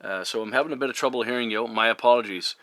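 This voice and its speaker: Bright-voiced, courteous middle-aged man on radio. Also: mid-range voice, no echo. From Newfoundland, Canada. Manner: courteous, hesitant, halting phrasing. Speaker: CB radio operator. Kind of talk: casual radio contact chat. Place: at a CB radio station. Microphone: radio microphone.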